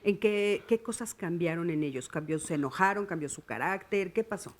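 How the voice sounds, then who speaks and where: A woman hosting a TV talk show, probing her guest with quick follow-up questions. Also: fairly low pitch. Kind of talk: interviewing guests on a TV panel.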